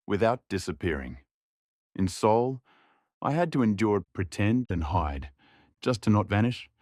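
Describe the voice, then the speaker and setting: Low, quiet young male narrator. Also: no echo, clean studio sound. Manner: quiet, reflective. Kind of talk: scripted first-person drama narration.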